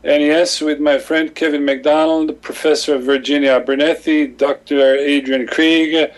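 Older male guest, slightly high pitch, measured and self-correcting. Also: little pitch movement. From Croatia. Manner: measured, pausing to rephrase. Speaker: interview guest.

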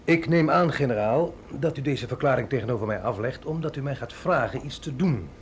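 A man's voice: mid-range voice, animated, brisk, a little hiss or hum, lightly acted. An actor playing a capable, reluctant but dutiful male agent in a radio drama, with a dry, wry manner. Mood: skeptical, irritated. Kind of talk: Dutch radio drama acting.

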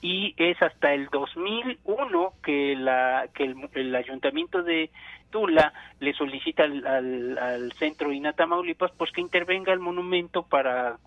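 An archaeologist comes through a thin, phone-like line, dry and close with no room around him. His voice sits a little high for a man, and he lays out his account slowly and carefully, stopping often to think aloud. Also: no room sound. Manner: measured, thinking aloud with many fillers. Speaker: archaeologist guest. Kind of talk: explanatory phone interview. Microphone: telephone.

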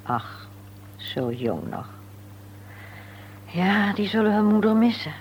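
An actress playing a courteous, inquisitive married hostess in a Dutch radio drama. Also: female voice, mid-range voice, big pitch swings, slow, a little mellow, a little hiss or hum.

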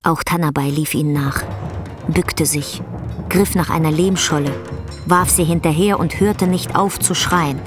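A woman with a low voice narrates a radio drama in literary prose. Prominent music plays behind her storytelling. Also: fairly even intonation, unhurried.

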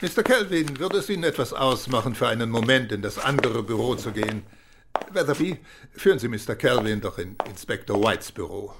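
A man acting a brisk, suspicious senior police chief in a German radio crime drama, with clipped, authoritative questioning. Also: mid-range voice, expressive, noisy background, lightly acted. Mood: brisk command.

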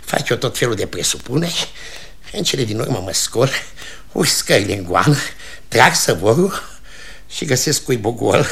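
Creaky, fretful old-time jailer. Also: male voice, medium pitch, lively intonation, a bit bright. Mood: fussy storytelling. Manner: lamenting, long-winded storytelling. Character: fretful, servile town-hall usher and jailer. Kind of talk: theatrical radio comedy acting.

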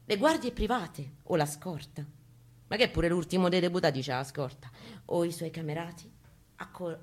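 A woman gives an emphatic, theatrical reading of a first-person story. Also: low voice. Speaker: reader at a live spoken-word reading.

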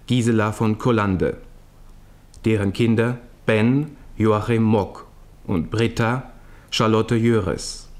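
A man announcing radio play credits in a formal, scripted manner. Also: mid-range voice.

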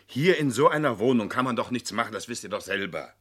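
Indignant husky father, very animated. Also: medium pitch, fast. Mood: insistent, exasperated. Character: indignant, stubborn father dreaming of owning a house. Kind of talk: 1970s German radio play acting.

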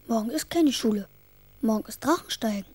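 Eifrigen kleinen Jungen, hohe Stimme. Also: female voice, a little high, lively intonation, fairly quick, darkish tone, husky. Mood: matter-of-fact. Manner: eager, direct, childlike. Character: young schoolboy, eager, earnest, a little bossy. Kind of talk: children's radio drama acting.

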